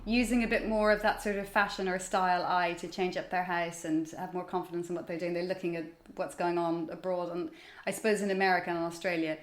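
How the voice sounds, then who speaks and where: A woman's voice: on the low side, little pitch movement, fast talker, few pauses. An Irish woman in her forties, a magazine editor being interviewed at home, talking in a chatty, self-deprecating way with many restarts. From Ireland. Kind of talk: casual interview chat. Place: large high-ceilinged room in her house. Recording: echoey room.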